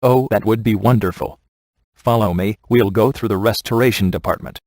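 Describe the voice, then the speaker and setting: A man's voice: lowish voice, moderate pace, darkish tone, no echo, clean studio sound. A man playing a polite, nervous museum curator in a video game, with a genteel, anxious manner. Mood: pleased.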